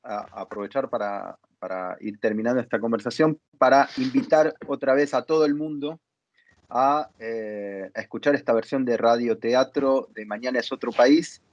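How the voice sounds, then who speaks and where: A man hosting a reflective conversation, thinking aloud in deliberate, halting phrases. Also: mid-range voice, muffled recording. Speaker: interview host, theatre dramaturg.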